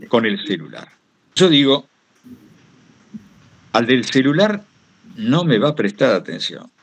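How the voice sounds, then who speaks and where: Animated Rioplatense Argentine man telling anecdotes. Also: mid-range voice, husky. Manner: digressive, acts out quoted remarks. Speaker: guest storyteller giving a talk. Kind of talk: casual anecdotal storytelling.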